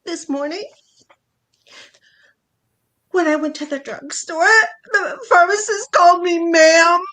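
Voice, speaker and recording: A woman's voice: extremely high pitch, takes it slowly, fairly bright tone, full character voice. A woman playing a needy, touchy wife who nags dramatically in a staged play reading about domestic life. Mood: upset, tearful. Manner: nagging, dramatic, aggrieved.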